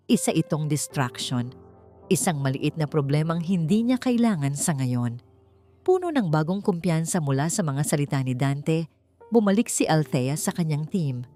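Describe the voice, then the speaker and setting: A Filipino woman reads a dramatic audiobook story in a lowish voice. Her delivery is theatrical and very lively in intonation, with faint music underneath. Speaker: audiobook narrator. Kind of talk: dramatic scripted story narration.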